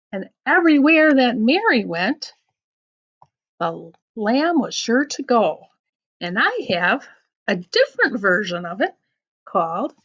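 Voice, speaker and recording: Woman, teacher reading nursery rhymes, lively swooping intonation, unhurried, expressive, sing-song, dull lo-fi audio. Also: medium pitch. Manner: sing-song, deliberate storytelling delivery. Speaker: teacher reading aloud to children. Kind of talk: expressive children's read-aloud of rhymes. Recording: dull lo-fi sound.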